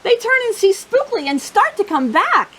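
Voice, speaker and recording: A woman hosting storytime for young children, reading and chatting in simple, expressive phrases. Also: very high-pitched, normal speed.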